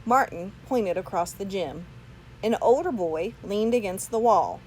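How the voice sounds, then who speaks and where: A woman narrates a children's story at a slow pace, with a very lively, swooping delivery. She phrases each piece deliberately and expressively, over faint background noise. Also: mid-range voice, poor audio. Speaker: storybook reader. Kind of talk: children's read-aloud narration.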